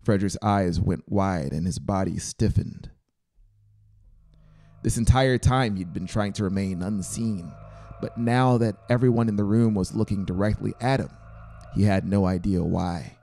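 A man's voice: low voice, measured pace, gravelly, warm tone. A man in his thirties narrating a scripted story as an audiobook reader, close on the microphone. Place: small brick-walled home studio. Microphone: close dynamic mic on a boom arm.

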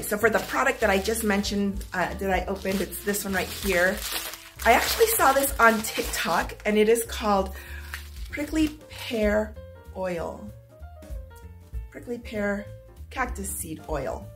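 A middle-aged woman filming a casual unboxing vlog at home, upbeat and chatty, her voice slightly hoarse as if she is losing it. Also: medium pitch, measured pace, fairly bright tone, faint music behind. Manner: chatty and upbeat, clears her throat now and then. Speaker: vlogger. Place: small home room with stacked boxes, indoors.

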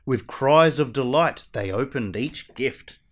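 A man playing a costumed superhero who reads a children's storybook aloud in a theatrical, deliberate way. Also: medium pitch. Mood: animated storytelling. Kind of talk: animated picture-book read-aloud.

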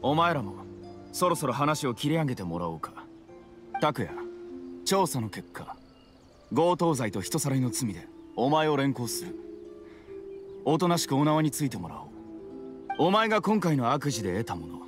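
A man voices an officious male samurai officer from an anime game. His mid-pitched voice swings with emphasis as he speaks curtly and formally in a commanding way. Music plays behind him. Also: very animated, moderate pace, pauses often, close to an everyday voice. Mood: stern, official. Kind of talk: Japanese anime game voice acting.

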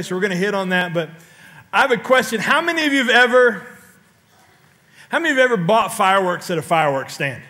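Middle-aged man, pastor on a big church stage, high bright voice, animated sermon with loud bursts, headset mic. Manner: animated storytelling with sudden loud outbursts and audience questions.

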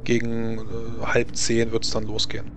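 A man narrating as game master of a tabletop role-playing game, speaking haltingly as he thinks aloud. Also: medium pitch, monotone, music in the background.

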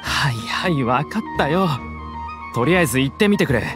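A man's voice: on the high side, expressive, normal speed, lightly acted. An actor playing a nervous, evasive young male smuggler in a game dub, pleading and dodging blame. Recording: game audio with loud music behind.

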